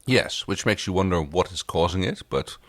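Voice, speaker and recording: A man co-hosting a casual TV recap podcast, chatting in an animated way. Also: low-pitched, normal speed, dry recording, studio quality. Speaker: podcast co-host. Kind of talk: casual TV episode recap chat.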